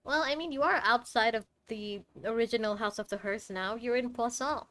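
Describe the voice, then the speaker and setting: A woman's voice: on the high side, a little fast. Chatty young woman streamer musing aloud. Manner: chatty, thinking out loud, self-correcting. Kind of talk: casual game commentary.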